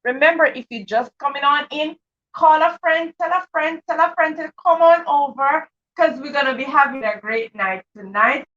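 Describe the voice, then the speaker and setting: An enthusiastic woman hosts a live show for children in a high, bright voice. She greets viewers and repeats her phrases for emphasis. The sound is dull and distant from the microphone. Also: normal speed. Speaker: host of children's live read-aloud show. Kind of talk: livestream chat, greeting viewers.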